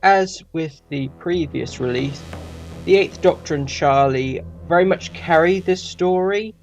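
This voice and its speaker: A man's voice: a little high, measured pace, highs cut off, faint music behind. A man hosting a review channel, reading a scripted review.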